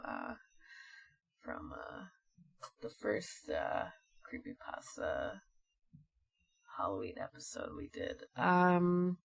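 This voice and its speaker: A woman's voice: fairly low pitch, gravelly, no echo. A woman co-hosting a podcast, chatting casually in an informal, unpolished way. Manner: informal, sweary. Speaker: podcast co-host.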